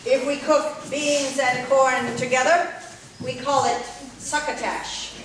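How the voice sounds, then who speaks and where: Puppet show villager a woman performing: grave, unhurried, plain short statements, picked up far from the microphone. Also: high-pitched, fairly even intonation, fairly bright tone. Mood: solemn, measured. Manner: simple, measured short statements. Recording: echoey hall, distant mic, faint noise.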